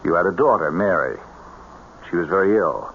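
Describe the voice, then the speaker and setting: Caring american army hospital doctor, old radio show, deep measured voice, muffled noisy tape. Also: male voice, animated, creaky. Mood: gently probing. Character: earnest, compassionate military hospital doctor, middle-aged man. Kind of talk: old-time radio drama acting.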